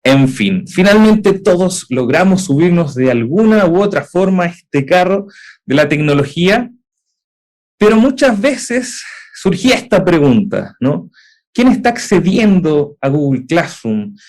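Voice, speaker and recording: A man's voice: high voice, sing-song, a little slow, darkish tone. A young man with a Chilean accent presenting in a webinar, explaining at length with frequent "eh" fillers and rhetorical questions. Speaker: edtech founder presenting.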